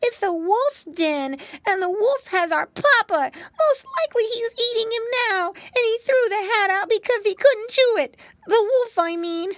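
A woman voicing an excitable little piggy boy who takes charge and lays out plans in a high, childlike voice. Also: unhurried, hardly any pauses, dry recording. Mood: eager.

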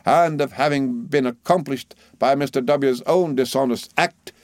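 A man voicing a pompous, florid middle-aged gentleman in an audiobook, declaiming in long formal phrases with relish. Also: mid-range voice, normal speed, no echo, clean studio sound, pitched above the performer's own voice, lightly acted. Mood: declamatory relish.

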